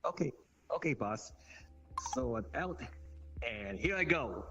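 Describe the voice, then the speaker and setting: Eager dimwit minion: servile, slowish, simple, roleplay game with loud music. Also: male voice, mid-range voice, animated, muffled recording. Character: dim, obedient, eager winged henchman. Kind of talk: improvised online roleplay.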